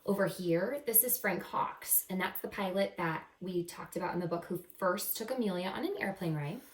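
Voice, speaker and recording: A woman reading a picture book aloud to children as a teacher, expressive and encouraging, pausing to explain words simply. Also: lowish voice. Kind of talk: animated children's read-aloud with simple asides. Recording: roomy echo, distant microphone.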